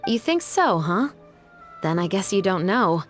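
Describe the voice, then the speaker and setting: An actress playing a composed, businesslike young guard captain in a video game, speaking in a measured, formal, matter-of-fact way. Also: female voice, medium pitch, sing-song. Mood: calm, wry. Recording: faint music behind.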